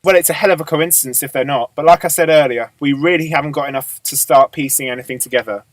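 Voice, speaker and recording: A man playing a businesslike police boss in an amateur radio drama, measured, matter-of-fact and authoritative. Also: a little high, no room sound, natural voice. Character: businesslike male police boss briefing his detectives.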